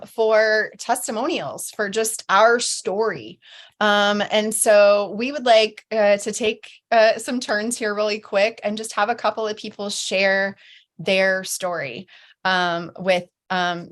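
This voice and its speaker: A woman's voice: medium pitch, fairly bright tone. Woman hosting a group meeting, many ums. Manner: slow, filler-heavy, friendly. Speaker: support group host.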